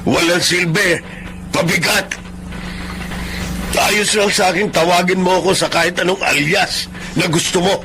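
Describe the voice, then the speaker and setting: Gentle older Filipino father, noisy recording. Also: sits high, fairly even intonation, unhurried, creaky, long pauses. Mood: hurt, pleading. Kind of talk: Filipino radio drama acting. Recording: noisy radio drama recording.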